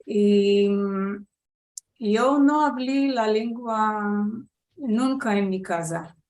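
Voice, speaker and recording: A woman from Israel, a guest, answering interview questions in a calm and measured way. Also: medium pitch. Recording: echoey room.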